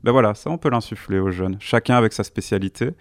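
A man's voice: on the low side, fast, no room sound, studio quality. A man, speaking as a youth worker on a panel, earnest and thoughtful, often restarting his sentences. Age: 30s. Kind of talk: radio panel discussion.

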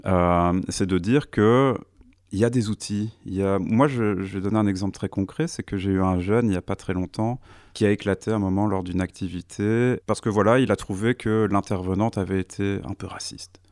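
A man's voice: fairly low pitch, fairly even intonation, a little fast, darkish tone, no room sound, studio quality. A man, speaking as a youth worker on a panel, earnest and thoughtful, often restarting his sentences. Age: 30s. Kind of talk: radio panel discussion.

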